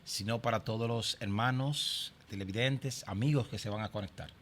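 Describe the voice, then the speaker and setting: A man's voice: on the low side, normal speed, fairly bright tone, a little hiss or hum. A middle-aged man from Santo Domingo with a Dominican accent, speaking conversationally as a pastor and podcast guest sharing his personal story. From Santo Domingo, Dominican Republic.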